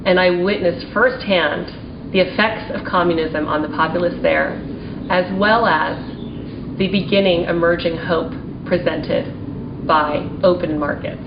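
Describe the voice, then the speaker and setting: A forty-year-old American woman giving prepared testimony as a small business owner, in an earnest, deliberate, formal manner. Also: on the low side, steady tone. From United States (Maryland), partly raised in Taiwan and China. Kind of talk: prepared advocacy testimony speech. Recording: roomy, distant mic, dull sound, faint noise.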